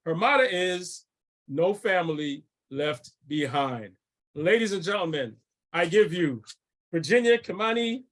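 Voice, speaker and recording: Webinar host, male, high voice, formal measured read of guest intros. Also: a bit bright. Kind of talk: reading a speaker introduction.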